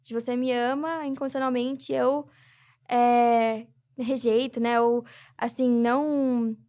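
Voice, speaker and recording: A woman's voice: fairly high pitch, level tone, slow, dark tone. A young Brazilian woman, guest and charity president, talking reflectively in a casual interview with many filler tags. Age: young adult.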